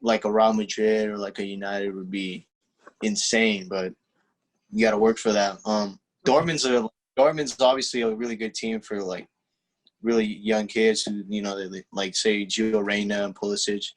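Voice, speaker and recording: A teenage man, a young footballer whose first language is Spanish, answering interview questions in a relaxed, halting way with many fillers and restarts. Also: lowish voice, low-quality recording. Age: teens. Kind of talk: casual interview.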